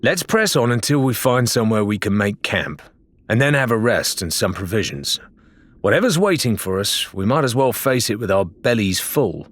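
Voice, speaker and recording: A man's voice: on the low side, big pitch swings, a bit bright, dry recording. Man voicing a firm pirate woman in charge. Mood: placating. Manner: firm, brisk, commanding. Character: firm, practical female pirate leader, reassuring.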